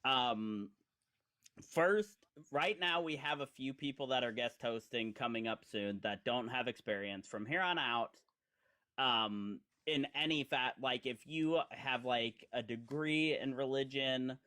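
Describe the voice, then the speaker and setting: A man's voice: medium pitch, measured pace, sharp tone. A man in his forties from Indiana, hosting a casual online talk show and rambling through his points with lots of fillers and teasing asides. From Indiana, USA. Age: 40s. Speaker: podcast host. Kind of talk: casual live-stream chat.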